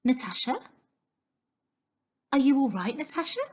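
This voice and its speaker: A woman voicing a kind, anxious young boy in a storybook, gently and hesitantly consoling. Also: high voice, expressive, unhurried, breathy edge, voice pushed up, exaggerated voice. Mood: concerned.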